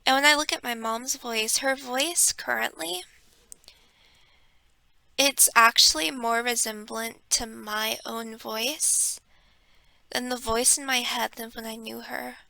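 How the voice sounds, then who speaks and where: A young woman quietly telling a personal story in a home vlog, keeping her voice hushed, with frequent ums and pauses, close to a desk microphone. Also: a little high, steady tone, gravelly, no echo, low-quality recording. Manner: hushed and reflective, with many ums. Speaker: vlogger.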